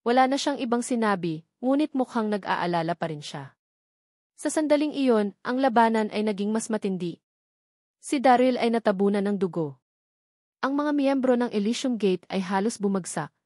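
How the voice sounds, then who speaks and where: A woman's voice: medium pitch, darkish tone, no room sound, clean studio sound. A woman reading a scripted story as an audiobook narrator.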